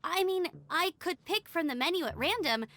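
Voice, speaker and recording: A woman voicing a sweet, easygoing, polite young girl with a gentle, hesitant manner in an anime-style video game. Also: very high-pitched, animated, normal speed, full character voice. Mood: hesitant, apologetic.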